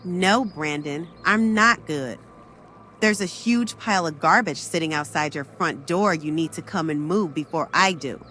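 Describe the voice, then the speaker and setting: A woman's voice: low voice, pushed and bright, a little hiss or hum. A woman playing a sassy uptown girlfriend. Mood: annoyed. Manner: slow, sassy and threatening. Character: proud uptown woman defending her man. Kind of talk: comedy skit acting.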